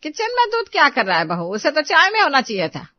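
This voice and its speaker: Woman voicing a high, nagging older mother-in-law. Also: wildly swooping pitch, fast talker, no room sound, lo-fi sound. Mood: indignant. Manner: nagging, exclamatory. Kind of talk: Hindi moral-story character dialogue.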